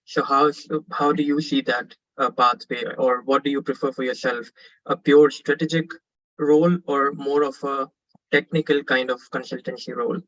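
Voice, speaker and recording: A man's voice: medium pitch, steady tone, normal speed, a bit bright, dull audio. A man in his twenties from Pakistan, asking an earnest, polite question on a webinar call with frequent fillers.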